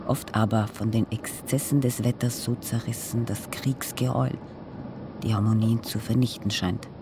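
An actor narrating a poetic radio piece in measured phrases. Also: male voice, steady tone, natural voice. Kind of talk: literary narration in a radio play. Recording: noisy ambience with vehicle-like rumble.

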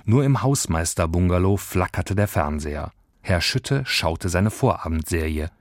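A man's voice: deep voice, expressive, normal speed, no room sound, studio quality. A man reading scripted narration for a children's story. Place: dry studio.

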